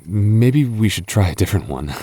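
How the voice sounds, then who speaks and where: Man, young adult, very low voice, boyfriend roleplay, teasing, stammers when nervous. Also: expressive, measured pace, background noise. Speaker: voice actor playing a goth boyfriend.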